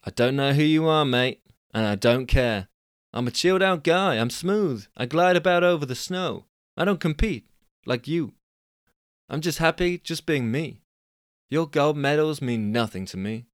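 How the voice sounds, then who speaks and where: A man voicing a laid-back male barman, relaxed and unbothered. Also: on the high side, moderate pace, clean tone, dry recording, studio quality, voice pushed up, close to an everyday voice.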